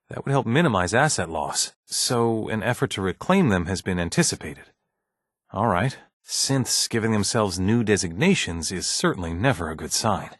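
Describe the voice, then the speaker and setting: Husky, mild-mannered bureaucratic scientist. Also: male voice, fairly low pitch, a bit bright, no echo, studio quality. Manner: hesitant, deferential, measured office-professional speech. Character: mild, bureaucratic scientist, polite and slightly uneasy. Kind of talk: video game dialogue acting.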